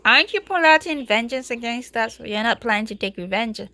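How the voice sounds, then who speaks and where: A woman's voice: on the high side, expressive, moderate pace, pushed and bright. A young woman giving casual, chatty playthrough commentary on a video game, with playful exclamations. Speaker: gaming content creator. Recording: clear music behind the voice. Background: game music.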